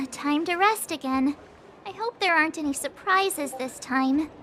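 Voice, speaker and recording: A woman's voice: high voice, unhurried, a bit bright, slight background noise. A woman voicing a bubbly, upbeat young girl companion in a game, chirpy and playfully teasing. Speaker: game character. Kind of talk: game voice acting.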